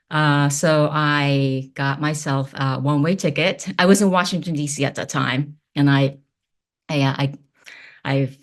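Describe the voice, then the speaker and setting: Female interview guest, 40s, low register, thoughtful halting delivery, casual tone. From Japan. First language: Japanese. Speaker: film producer, podcast guest.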